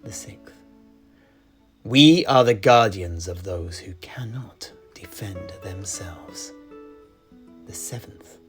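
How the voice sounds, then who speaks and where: A man playing a grave, secretive tavern keeper who tells fantasy tales in a measured, deliberate way, his phrases often trailing into a hush. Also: lowish voice. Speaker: storyteller, host of in-universe chat. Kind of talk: in-character fantasy storytelling. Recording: music behind the voice.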